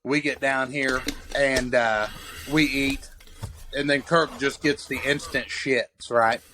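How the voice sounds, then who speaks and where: Casual young man, profane rambling storyteller, mid-pitched, faint background noise. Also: steady tone, slow, pushed and bright. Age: 20s. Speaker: podcast co-host. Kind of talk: casual chat. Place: cold basement den in a house. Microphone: handheld mic.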